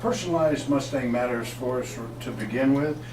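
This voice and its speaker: Energetic male talk radio host. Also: medium pitch, normal speed. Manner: energetic, promotional, reads out phone numbers. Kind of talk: upbeat talk radio hosting. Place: radio booth set up in a shopping mall. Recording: roomy, distant mic, noisy.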